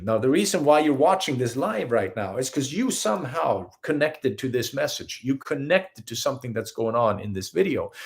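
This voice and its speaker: A man's voice: mid-range voice, gravelly. A man from Sweden with a Swedish accent, giving an earnest live-streamed spiritual talk as a healer and host. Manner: earnest, animated, acts out little scenes in quotes.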